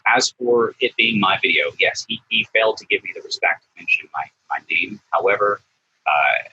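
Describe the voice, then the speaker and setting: A man speaking as an interview guest in a monotone. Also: deep voice, slightly rough, dull audio. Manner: monotone, forceful. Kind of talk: interview conversation.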